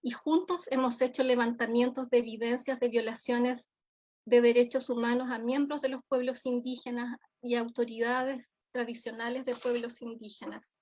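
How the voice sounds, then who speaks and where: High-voiced Chilean woman giving a formal lecture. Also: flat delivery, moderate pace, a little mellow. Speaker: forensic psychologist giving a keynote lecture. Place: remote online conference. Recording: dull, lo-fi audio.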